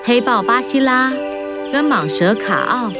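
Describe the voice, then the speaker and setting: A woman's voice: a little high, expressive, unhurried. Woman narrating a children's story over music.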